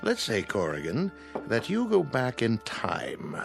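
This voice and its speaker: Persuasive, animated club gentleman. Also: medium pitch, measured pace, gravelly, faint music behind, exaggerated voice. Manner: persuasive, assured. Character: confident, argumentative gentleman club member, fond of speculation. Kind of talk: radio drama acting.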